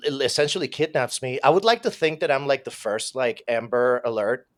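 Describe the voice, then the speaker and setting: A man in his thirties, raised in Venezuela, telling his life story as a podcast guest in a casual, profane, animated way. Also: medium pitch, fairly quick. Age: 30s.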